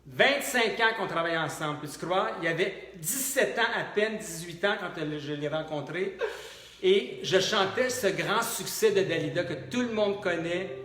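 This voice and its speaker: A man's voice: high voice, animated, moderate pace, fairly bright tone. A middle-aged man with a Quebec French accent, a cabaret drag diva chatting and joking with a talkative, theatrical, colloquial delivery in a live show. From Quebec, Canada. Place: indoors in pianist's home, live online show. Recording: roomy live stream with piano music. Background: piano music.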